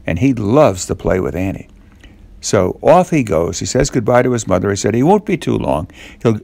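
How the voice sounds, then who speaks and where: A man telling a children's story off the cuff, in a halting way with little questions to the listener. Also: medium pitch, creaky, darkish tone, no echo.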